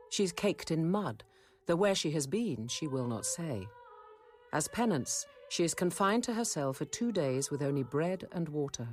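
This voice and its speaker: An actress playing a composed, dryly witty convent apothecary nun in a radio drama, measured and articulate. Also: female voice, low-pitched. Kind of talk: radio drama acting and narration.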